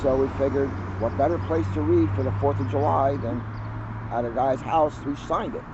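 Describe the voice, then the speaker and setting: A man who is a police officer, reading a children's picture book aloud outdoors with lively storytelling. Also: mid-range voice, moderate pace, lo-fi sound, background noise. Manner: lively storytelling, livens up on quoted lines. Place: outdoors on a hill at a historic house.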